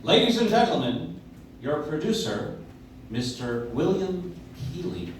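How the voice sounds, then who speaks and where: A man's voice: a little high, sing-song, measured pace. A man playing a ceremonious 1940s radio show announcer, live on a small stage with a distant echoey sound. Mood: grand, ceremonious. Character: grand old-time radio show announcer. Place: coffee house stage, recorded from audience. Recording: echoey live stage, far mic, faint noise.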